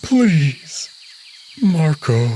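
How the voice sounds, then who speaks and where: A grief-stricken male wolf, acted out by a man, his voice pushed up high in a desperate plea. It is dramatic storytelling in a furry romance. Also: sing-song, drawn-out words, warm tone, slight background noise, heavily acted. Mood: pleading, grief-stricken. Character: grieving male wolf, pleading over his human lover.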